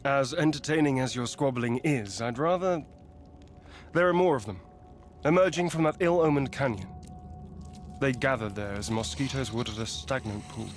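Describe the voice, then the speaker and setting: An actor playing a grave, formal ancient guardian in a video game, speaking deliberately as the mediating brother. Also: male voice, medium pitch, soft background music, close to an everyday voice.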